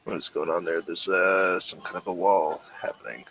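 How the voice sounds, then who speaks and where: A man's voice: low-pitched, fairly even intonation, moderate pace, sharp tone, a little hiss or hum. A man acting as game master, talking casually through an online tabletop role-playing session.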